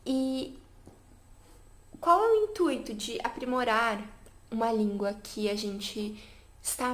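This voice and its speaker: A woman chatting casually to camera about learning languages, with many fillers and an upbeat, talkative manner. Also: fairly high pitch. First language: Portuguese. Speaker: language vlogger.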